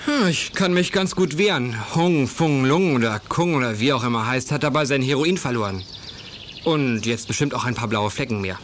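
A man's voice: mid-range voice, normal speed, a little hiss or hum, close to an everyday voice. An actor playing a cheeky, self-assured teenage boy detective in a children's audio drama. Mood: cocky, defiant.

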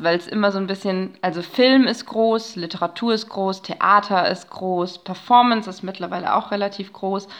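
Woman explaining things in a chatty academic way. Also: medium pitch. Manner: chatty academic explaining in loose, winding sentences. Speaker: podcast guest, narratologist and researcher. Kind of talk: explanatory, conversational interview.

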